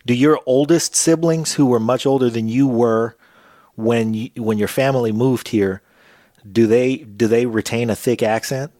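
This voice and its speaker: A man hosting a podcast interview, chatting in a relaxed, conversational way. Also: medium pitch, moderate pace, no echo, clean studio sound. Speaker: podcast host. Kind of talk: casual interview chat.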